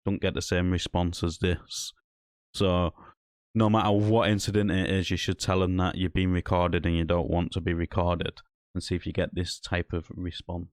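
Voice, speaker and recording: A man's voice: low voice, little pitch movement, normal speed, no room sound, clean studio sound. A man from Hull, commenting critically on a video clip and explaining his view to viewers. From Hull, East Yorkshire. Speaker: commentator reviewing an audit video. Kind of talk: reaction commentary.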